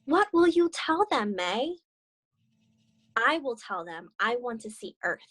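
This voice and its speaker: A young woman reading a children's storybook aloud on a video call, expressive and encouraging as she chats about it. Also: on the high side, slow pace. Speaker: read-aloud co-host, story reader.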